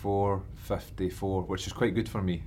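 A man's voice: low voice, level tone, moderate pace, a little mellow, slight background noise. A man chatting casually and asking questions during a podcast interview. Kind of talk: casual chat.

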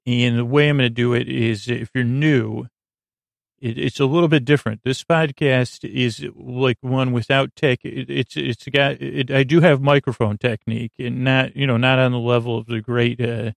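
A man hosting a sleep podcast, rambling in a soothing, creaky drawl that wanders off topic and interrupts itself with wry asides. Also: medium pitch, fairly even intonation, normal speed, no echo. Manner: meandering, self-interrupting, wry. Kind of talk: rambling late-night monologue.

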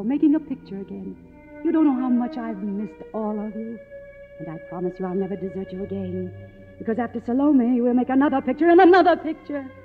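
A woman's voice: on the high side, natural voice. An actress playing a deluded, grand, middle-aged former film queen in a 1950s radio drama, theatrical and dreamy. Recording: tinny vintage radio recording, music underneath.

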